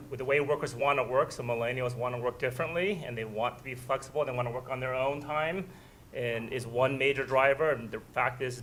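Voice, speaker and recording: A man's voice: mid-range voice, a bit bright, a little hiss or hum. Male chief executive on a panel. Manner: repeats words while building thoughts. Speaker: company CEO and panelist. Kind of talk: panel discussion answers.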